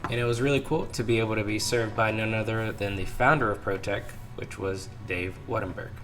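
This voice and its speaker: A man recovering from an illness, his voice still hoarse, hosting a casual vlog from his garage workbench and talking through new knives with easy fillers. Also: lowish voice. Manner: relaxed, talks through items with fillers. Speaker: channel host, knife hobbyist. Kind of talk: casual vlog show-and-tell.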